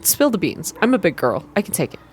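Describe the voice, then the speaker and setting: A woman's voice: lowish voice, big pitch swings, brisk, slight background noise. Woman as a casual, determined young heroine. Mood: casual, probing.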